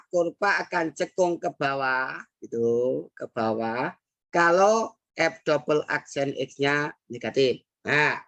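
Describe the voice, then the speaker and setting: A woman's voice: on the low side, fairly bright tone. A woman teaching a math lesson with an Indonesian accent, working through problems patiently and repeating key phrases, with frequent "nah" and "hmm". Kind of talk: recorded classroom math lesson.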